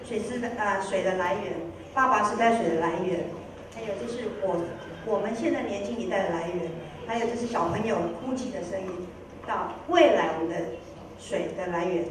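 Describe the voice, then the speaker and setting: A woman's voice: mid-range voice, a little fast. A woman giving informal acceptance remarks as an award winner. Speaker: award winner from a sound production company. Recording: very echoey noisy hall, distant mic.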